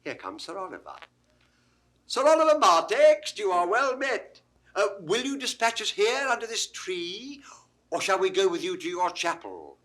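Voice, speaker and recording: Swooping, witty theatrical jester. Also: male voice, high-pitched, big pitch swings, moderate pace, fairly bright tone. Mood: jaunty. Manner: theatrical, wordplaying, mock-pompous. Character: witty bawdy court jester courting a goatherd. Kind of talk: 1960s Shakespeare audio drama acting.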